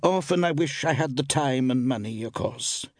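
An inquisitive, guarded village shopwoman, as a male narrator plays her. The voice is lifted well above his own and husky at the edges. She draws her words out deliberately and keeps a cool reserve. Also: mid-range voice, no room sound, studio quality, pitched above the performer's own voice, natural voice. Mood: slightly warmer, curious.

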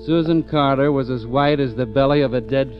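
A man's voice: mid-range voice, a little fast, over music, natural voice. An actor playing a hardboiled police lieutenant who narrates his own case in a dry, clipped, sardonic way, in an old radio drama. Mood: hardboiled narration. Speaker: narrator and detective. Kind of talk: 1940s radio acting. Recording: muffled old radio recording.

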